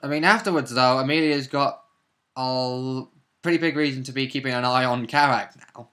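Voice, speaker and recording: A man's voice: medium pitch, moderate pace, fairly bright tone. A man co-hosting as a player, chatting casually about a tabletop roleplay game with short, dry interjections. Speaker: player and co-host.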